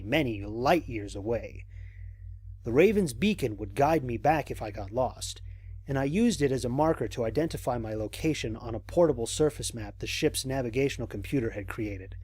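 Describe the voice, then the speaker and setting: Man playing a crisp, cautious space explorer. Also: medium pitch, a little mellow. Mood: reflective, puzzled. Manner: measured, reflective, formal diction with deliberate pauses. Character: cautious, observant male space explorer recording mission logs. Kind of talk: scripted audio drama monologue.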